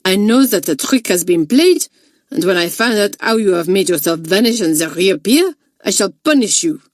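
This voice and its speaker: A woman's voice: mid-range voice, lively intonation, moderate pace, darkish tone, no echo, studio quality, lightly acted. A woman voicing a bad-tempered, imperious French schoolmistress with a French accent. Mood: furious. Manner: imperious, snapping.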